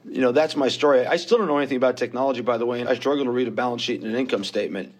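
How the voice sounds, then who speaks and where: A man's voice: medium pitch, rapid-fire. A man in his fifties with a New York accent, telling his story as a guest on a podcast interview in a candid, self-deprecating way. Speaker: guest investor.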